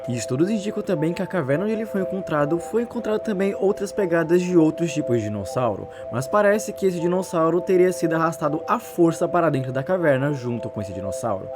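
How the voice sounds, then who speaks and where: A man's voice: on the high side, expressive, fast talker, few pauses, music in the background. A man with a Brazilian Portuguese accent narrating a story as casual online commentary, in a wordy, intrigued manner. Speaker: YouTube narrator.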